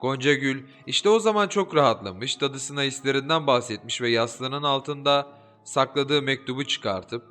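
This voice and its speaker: A man narrating a fairy-tale audiobook in an expressive, lilting storytelling manner. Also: medium pitch, normal speed. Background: soft background music.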